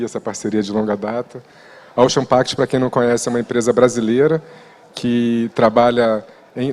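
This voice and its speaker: A man speaks on a conference panel in a steady, explanatory tone, his pitch staying quite level. His voice is mid-pitched. A long hall reverb trails his words. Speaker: company director panelist. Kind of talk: panel presentation.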